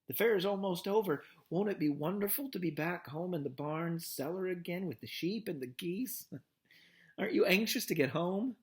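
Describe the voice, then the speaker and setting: A jittery young pig with a high, wobbly voice that leaps up when he gets desperate, put on by a man reading aloud. He pleads and fusses, swinging widely between eager hope and panic, in a storybook reading. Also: animated, moderate pace. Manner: pleading, emotional, high-strung. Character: squealing young male pig, anxious and devoted.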